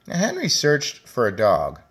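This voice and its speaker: A man reading a children's story aloud as a teacher, speaking deliberately and addressing young listeners directly. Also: mid-range voice, huge pitch swings, a bit bright, slight background noise. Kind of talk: children's read-aloud with commentary.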